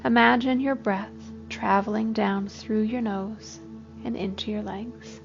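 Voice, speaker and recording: A woman gently leading a scripted guided body scan meditation, giving unhurried instructions as a therapist. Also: mid-range voice, fairly even intonation, vocal fry, muffled recording. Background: soft music.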